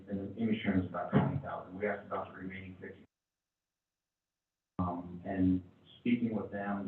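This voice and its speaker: A man with a very deep, flat voice reports to a city council, pausing often and restarting his sentences. He sounds tinny and distant, picked up from far away in a very echoey chamber. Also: a little hiss or hum. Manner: halting, many fillers and restarts. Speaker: city chief presenting to council.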